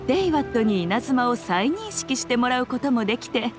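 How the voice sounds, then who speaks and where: An elegant fox shrine maiden speaks in a high voice with sly, haughty poise. Her phrasing is archaic and playfully self-assured, in anime game style, over background music. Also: very animated, normal speed. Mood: persuasive. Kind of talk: Japanese anime game voice acting.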